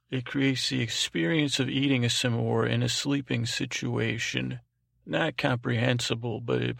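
A man hosting a sleep podcast with a meandering, halting bedtime ramble in a lulling, soothing tone, often repeating himself and trailing off. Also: medium pitch, a bit bright, dry recording, studio quality. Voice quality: creaky, gravelly. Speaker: podcast host. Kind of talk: rambling improvised bedtime monologue. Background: music.

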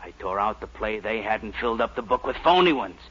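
An actor playing a gruff, wily football coach, raspy and blunt, in a 1940s radio sitcom. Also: male voice, fairly low pitch, animated, fast talker. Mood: sly, gruff. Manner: blunt, forceful. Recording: muffled 1940s radio recording.

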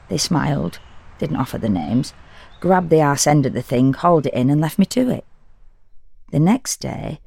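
Husky, creaky, confiding woman. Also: low-pitched, heavily acted. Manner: intimate, deadpan, halting in short phrases. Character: frank, wry, solitary woman confiding her private habits. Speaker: actor performing a solo audio drama. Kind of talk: first-person dramatic monologue, confessional and conversational.

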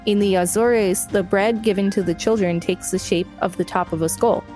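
Female podcast co-host, medium pitch, article read-aloud, casual asides, faint music bed. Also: steady tone, moderate pace, mellow.

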